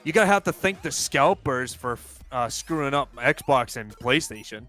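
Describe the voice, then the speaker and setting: A man's voice: mid-range voice, lively intonation, normal speed, bright, forceful tone. A man in his twenties chatting casually during a gaming livestream, rambling, restarting sentences and swearing freely. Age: 20s. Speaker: co-player on livestream.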